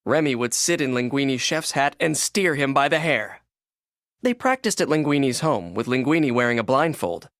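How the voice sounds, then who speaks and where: A man narrating a children's read-along storybook in an expressive, scripted storytelling manner. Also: mid-range voice, moderate pace, dry recording, clean studio sound.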